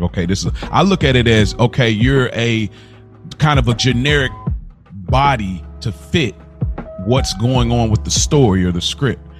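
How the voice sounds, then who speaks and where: A man co-hosting a podcast, chatting in a relaxed, rambling way. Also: medium pitch. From Kentucky, USA. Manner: relaxed, rambling, many fillers and restarts. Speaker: podcast co-host. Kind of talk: casual conversation.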